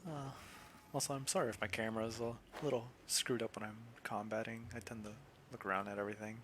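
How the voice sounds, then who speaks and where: A man's voice: on the low side, level tone, fast talker, a bit bright, a little hiss or hum. A young man giving casual, chatty commentary over his gameplay. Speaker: gaming video creator.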